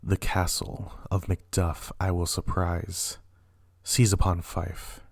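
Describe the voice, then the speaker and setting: A man's voice: deep voice, completely flat delivery, slow, creaky, no room sound, studio quality, heavily acted. A man playing a tormented, imperious and ruthless king, declaiming weightily in a dramatic Shakespeare reading. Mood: grimly resolved.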